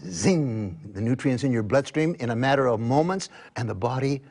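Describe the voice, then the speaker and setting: An older man explains his product as an infomercial guest. His delivery is emphatic and salesman-like, with very lively swings in pitch. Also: normal speed. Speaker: guest juicing advocate. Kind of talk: infomercial talk-show explanation.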